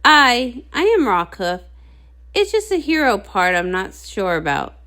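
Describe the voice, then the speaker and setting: A woman's voice: a little high, big pitch swings, measured pace, sharp tone, heavily acted. A woman voicing a strong, easygoing male pony digger with a modest, friendly manner for a storybook. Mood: modest, earnest.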